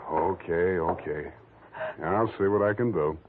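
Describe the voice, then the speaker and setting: An actor playing a cynical, world-weary male private detective with wry, clipped, deadpan delivery in 1940s radio noir style. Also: low-pitched, a little slow. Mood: cynical, guarded. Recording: tinny vintage 1940s radio recording with music.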